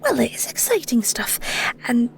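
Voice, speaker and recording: A woman's voice: a little high, very animated, normal speed, creaky, darkish tone, in a whisper. A woman playing a flustered, sweet young Scottish shepherdess in an intimate audio roleplay, bashful, stammering and rambling. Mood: flustered, smitten.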